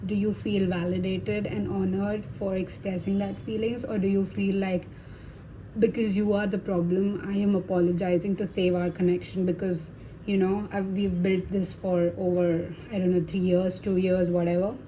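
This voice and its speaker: Woman, tarot reader, native Hindi speaker; casual, reassuring chat, mid-pitched mellow tone. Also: little pitch movement, moderate pace, a little hiss or hum, rough recording. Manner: chatty, reassuring, many fillers and tag questions. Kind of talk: casual tarot reading to viewers.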